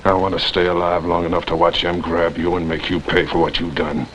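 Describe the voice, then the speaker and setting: An actor playing a blunt, defiant civilian army scout with folksy frontier speech in a 1950s TV western. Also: male voice, sits low, little pitch movement, brisk, fairly bright tone, slight background noise, low-quality recording. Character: plain-spoken, defiant civilian army scout, protective and wary. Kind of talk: 1950s television western acting.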